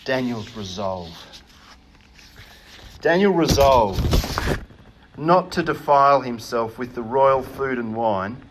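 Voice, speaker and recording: A man preaching a sermon in an earnest, emphatic way, often pausing with hesitations. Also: medium pitch, fairly bright tone, a little hiss or hum, poor audio.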